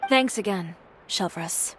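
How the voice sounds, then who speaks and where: A woman's voice: mid-range voice, takes it slowly, fairly bright tone, slight background noise, poor audio. A woman playing a proud, blunt young female fashion designer, composed and self-assured, in an anime-style video game. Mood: cool, composed. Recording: music behind the voice.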